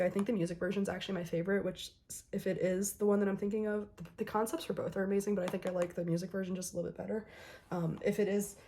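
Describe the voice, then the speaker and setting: A young woman with a hoarse voice, chatting casually to camera while unboxing albums, with many ums and bursts of excitement. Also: medium pitch, a little hiss or hum. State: hoarse, recovering from illness. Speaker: K-pop album unboxing vlogger.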